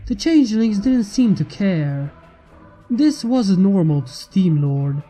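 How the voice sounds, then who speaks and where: A man's voice: high voice, sing-song, slow pace, mellow. A man reading a fantasy story aloud as narrator, with music behind him. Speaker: fan fiction reader. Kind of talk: scripted story narration with character voices. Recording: music under the voice. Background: background music.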